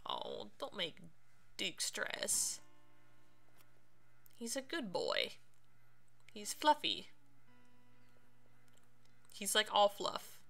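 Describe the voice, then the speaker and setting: A woman's voice: mid-range voice, wildly swooping pitch, extremely slow, creaky, pauses often, a bit bright. A woman streamer giving casual, playful game commentary, sometimes theatrical in delivery. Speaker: streamer, let's play host.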